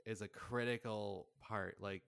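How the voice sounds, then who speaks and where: Bright, lowish-voiced man chatting casually. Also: fairly even intonation, unhurried, creaky, smooth, no echo, studio quality. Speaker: podcast guest, audio drama writer-director. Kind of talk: casual chat.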